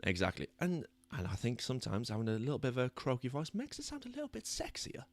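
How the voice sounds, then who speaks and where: A British man hosting a soccer podcast in a chatty, self-deprecating way, his voice a little croaky. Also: medium pitch, wildly swooping pitch, quick pace, no echo. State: slightly croaky voice. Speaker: podcast host. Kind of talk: casual chat. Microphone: new microphone.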